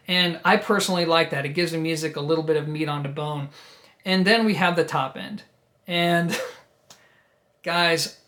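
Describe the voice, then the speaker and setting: A man's voice: a little high, fairly bright tone. A man with a New Jersey accent giving a conversational, enthusiastic and emphatic product review straight to his viewers. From New Jersey, United States. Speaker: audio equipment reviewer and host.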